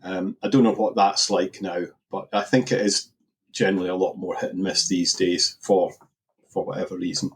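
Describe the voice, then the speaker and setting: A man middle-aged Edinburgh-accented guest, husky and lowish voice, rambling anecdotal podcast talk. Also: steady tone, normal speed. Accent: Edinburgh Scottish. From Scotland. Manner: rambling, repeats words while searching for them. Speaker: guest angler. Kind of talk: relaxed podcast interview.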